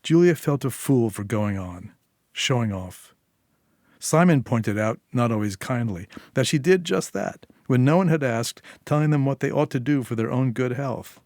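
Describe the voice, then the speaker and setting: A male narrator reads literary fiction at a medium pitch for a man. The recording is dry and close, with hardly any room around the voice. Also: expressive, moderate pace, a little mellow, dry recording. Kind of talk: scripted short story narration.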